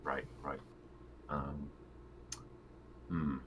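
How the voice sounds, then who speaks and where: A man's voice: extremely low pitch, flat delivery, extremely slow, dull audio, a little hiss or hum. A man playing a tabletop game, chatting casually and tentatively as he thinks his moves through aloud.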